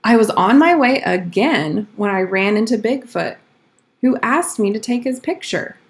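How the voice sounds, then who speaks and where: Storybook schoolboy, read by a woman, earnest teller of tall tales, slow-paced delivery. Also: medium pitch, lively intonation. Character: schoolboy spinning tall-tale excuses for lateness.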